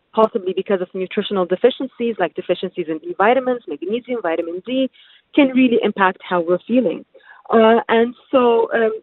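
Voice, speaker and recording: A woman's voice: medium pitch, no room sound. A woman dietitian from the UAE, a guest on a radio show, explaining fluently with many filler sounds. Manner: fluent and informative, many ums. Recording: tinny phone line.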